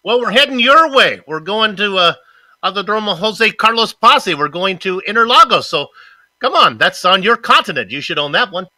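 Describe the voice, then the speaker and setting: A man hosting a sim racing broadcast, upbeat and energetic in his announcer delivery. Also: high voice, normal speed, no room sound. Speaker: broadcast commentator and host. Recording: music bed playing under voice. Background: loud music.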